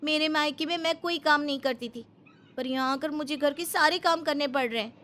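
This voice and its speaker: A woman's voice: high voice, brisk, fairly bright tone. A woman playing a proud, resentful young wife in a family story, complaining indignantly. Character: proud, resentful young wife missing her rich home. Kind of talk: dramatised family story acting.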